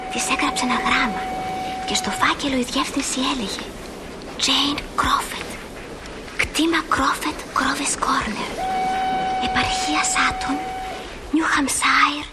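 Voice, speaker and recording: An actress playing a curious, wide-eyed little sister in radio theatre, with a breathy, whispery voice. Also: female voice, high-pitched, heavy background noise.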